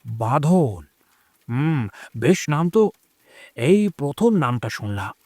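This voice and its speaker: An actor playing a chatty, concerned, insistent older gentleman in an audio story. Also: mid-range voice, slow, dry recording, studio quality, full character voice. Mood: friendly, curious. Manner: expressive, exclaiming, familiar. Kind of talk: audio drama acting.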